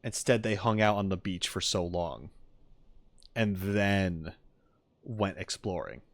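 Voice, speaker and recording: A man's voice: low-pitched, takes it slowly, vocal fry, no room sound. A man doing casual livestream commentary as he reacts to a game, opinionated and emphatic, in an argumentative way. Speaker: streamer reacting to game voice lines.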